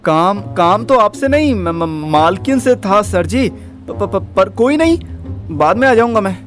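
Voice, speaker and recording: Man voicing a high-pitched, nervous young guy. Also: expressive, a little slow, faint music behind. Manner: stammering, nervous, pleading. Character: timid, frightened young man, a bad liar, pleading. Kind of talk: Hindi audio drama acting.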